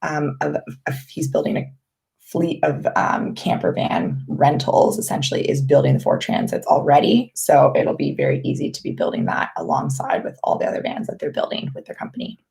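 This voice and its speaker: Low raspy gravelly woman, chatty. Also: moderate pace. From Canada. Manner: relaxed, rambling, with many ums and restarts. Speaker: vlogger hosting a live Q&A. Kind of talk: casual live-stream chat reading viewer questions.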